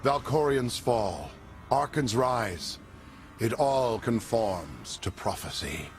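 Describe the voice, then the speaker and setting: An actor playing a scheming, menacing crime lord and zealot in a video game, with a grandiose, eloquent, theatrical delivery. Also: male voice, medium pitch, animated, slow, faint music behind. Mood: brooding. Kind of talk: video game cutscene acting.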